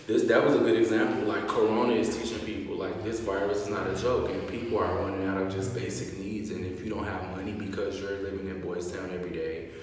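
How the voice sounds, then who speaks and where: A man in his late twenties chats casually with a friend, peppering his talk with fillers. His voice sits low and he sounds set back from the microphone. The room adds a long, echoing tail. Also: quick pace, hardly any pauses, slight background noise. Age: late 20s. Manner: chatty, animated, frequent "like" fillers. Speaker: vlogger host. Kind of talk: casual chat. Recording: echoey room, voice from far off.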